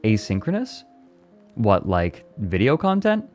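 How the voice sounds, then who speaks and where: A man's voice: on the low side, huge pitch swings, unhurried, a little mellow, faint music behind. A young man chatting casually on a live stream, reading and answering his chat and laughing often. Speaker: VTuber streamer.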